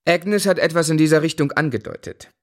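An actor playing a polite, reserved young gentleman who also narrates, in a German radio drama. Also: a little high, a little fast, dry recording, studio quality, lightly acted.

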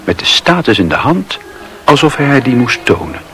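A man narrating a literary radio drama in a measured storytelling manner. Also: medium pitch, sing-song, bright, forceful tone. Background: music.

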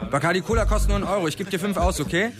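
Man acting a brash tipsy guy, higher voice, blunt, clingy, slangy, radio drama, loud music. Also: racing, lightly acted. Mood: teasing, jovial. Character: brash, clingy, tipsy small-town party guy, Jan.

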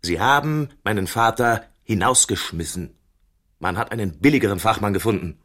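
A man's voice: fairly low pitch, animated, normal speed, fairly bright tone. An actor playing a stubborn, embittered middle-aged father in a radio drama, insistent and terse. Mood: bitter, agitated. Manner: insistent, terse, emphatic repetition.

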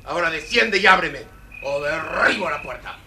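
An actor playing an arrogant, spoiled young son, imperious and insolent, in a children's audio story. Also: male voice, a little high, expressive, normal speed, bright, forceful tone, exaggerated voice. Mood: impatient. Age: young adult. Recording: old record, distant from mic, background noise.

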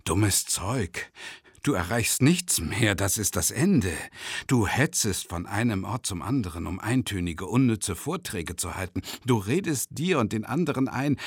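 An actor playing a tormented, self-doubting man who narrates a radio drama monologue in a brooding, intense way, with a gravelly, husky voice. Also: low voice, dry recording, studio quality, heavily acted. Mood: bitter self-reproach. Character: tormented, self-doubting, impoverished male travelling lecturer. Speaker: first-person narrator.

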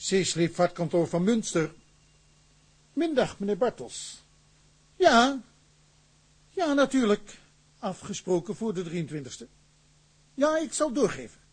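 An actor playing a formal, courteous clerk at a shipping office. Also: male voice, high-pitched, very animated, measured pace, long pauses, breathy edge, full character voice.

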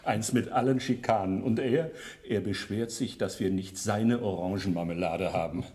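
Schauspieler als gemütlicher Dorfwirt mit tieferer Stimme. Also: male voice, fairly low pitch, normal speed, dark tone, lightly acted. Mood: amused, friendly. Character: genial, obliging village innkeeper with dry humour. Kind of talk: German radio crime drama acting.

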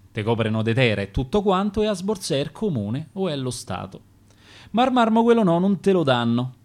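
Male poet, Roman accent, theatrical sonnet reader, lively intonation. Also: mid-range voice. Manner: rhythmic, theatrical recitation. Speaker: poet reading his own verse. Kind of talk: recited dialect sonnets, radio theatre.

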